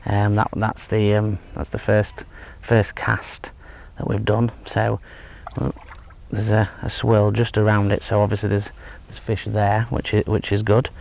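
A man in his thirties giving a relaxed, hesitant fishing tutorial outdoors by a lake, with lots of fillers and restarts. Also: on the low side, measured pace, gravelly. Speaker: angling instructor. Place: outdoors at a lakeside fishing spot. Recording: faint outdoor background noise. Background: faint outdoor ambience.

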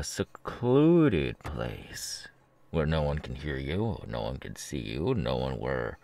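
Man acting a sadistic kidnapper, very low pitch, swooping intonation, halting sneer, audio roleplay. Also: creaky, no echo, full character voice. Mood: taunting menace. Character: menacing, taunting male kidnapper and ex-convict, sadistic and mocking.

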